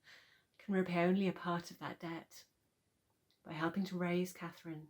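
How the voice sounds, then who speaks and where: A woman's voice: fairly low pitch, little pitch movement, moderate pace, darkish tone, natural voice. A woman playing a devoted young nursemaid with a troubled past in a radio play, earnest and emotional, faltering as she speaks. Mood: grateful, devoted.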